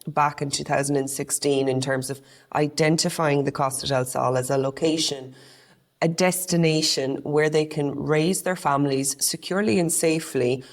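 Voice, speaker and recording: A woman's voice: low-pitched, steady tone, normal speed. An Irish woman co-hosting a podcast, talking in an earnest, conversational way. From Ireland. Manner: earnest, conversational, with frequent restarts. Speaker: podcast co-host. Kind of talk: casual podcast chat.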